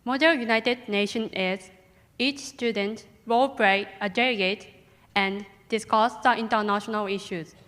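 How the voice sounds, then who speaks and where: A teenage girl, a native Japanese speaker, giving a careful, halting self-introduction in English as a student panelist. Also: medium pitch, fairly even intonation, a bit bright. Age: teens. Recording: echoey hall.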